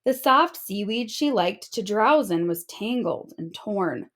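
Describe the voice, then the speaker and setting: A woman narrating a children's storybook aloud in an expressive storytelling manner. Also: medium pitch, slow pace. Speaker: storybook reader.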